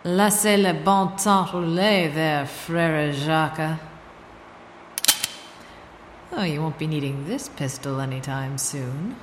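An actress playing a plucky, sardonic sleuth in a parody radio serial, thinking aloud in a wry manner. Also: female voice, deep voice, measured pace. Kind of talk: parody old-time radio drama. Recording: very noisy, echoey, long reverb.

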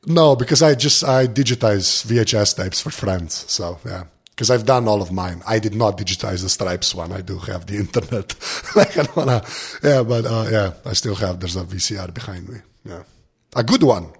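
A tired man with a gravelly voice chatting casually as a podcast guest, rambling in an informal, self-deprecating way. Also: lowish voice, normal speed. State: tired, barely awake. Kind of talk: casual chat.

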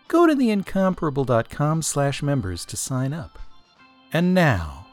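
A man reading a scripted promotional announcement in the role of show announcer. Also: medium pitch.

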